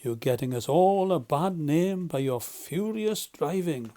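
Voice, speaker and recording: A man voicing a stern, solemn badger in a storybook, speaking gravely and emphatically as he scolds his friends. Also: a little high, animated, a little slow, warm tone, pitched above the performer's own voice. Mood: severe.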